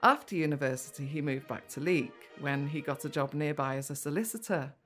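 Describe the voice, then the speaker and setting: A woman's voice: fairly low pitch, natural voice. An actress playing a woman who fondly narrates her mum's life story in an audio drama, over music.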